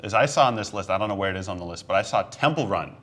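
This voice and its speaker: A man's voice: low-pitched, lively intonation, racing, fairly bright tone. A man in a casual group discussion, talking with joking, incredulous emphasis. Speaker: show panelist.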